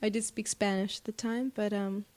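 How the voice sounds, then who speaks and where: An American woman speaking casually in an interview as a singer-songwriter, thoughtful and halting, often pausing mid-phrase. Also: mid-range voice, flat delivery, a little mellow. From United States. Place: apartment.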